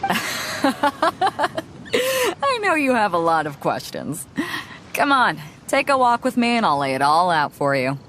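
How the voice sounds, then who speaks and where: A woman voicing a confident, easygoing female ship captain in a video game cutscene, speaking in a relaxed, knowing way. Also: on the low side, slow, long pauses, a little hiss or hum, heavily acted. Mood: sly. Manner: relaxed, knowing, laughs often. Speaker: fleet captain. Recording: game music under the voice.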